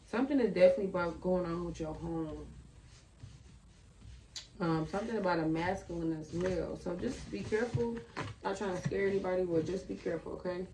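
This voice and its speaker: A young woman reading tarot cards in a casual, slangy way, with a slightly raspy voice, talking at a table in her bedroom. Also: fairly low pitch, a little fast, darkish tone, slight background noise. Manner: chatty, slangy, thinking aloud. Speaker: tarot reader. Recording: roomy, a bit far from the mic.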